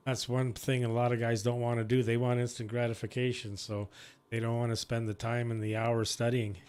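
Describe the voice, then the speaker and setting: Older guy, mid pitched, just rambling away casually like a ham operator. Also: level tone, a little fast, darkish tone, a little hiss or hum. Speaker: amateur radio operator. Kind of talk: casual chat over ham radio.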